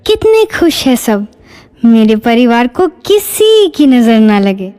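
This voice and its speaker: A woman playing an anxious, easily irritated village housewife in a moral story, expressive and exclaiming. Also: on the high side, slow pace. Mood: contented worry. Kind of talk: dramatized moral story dialogue.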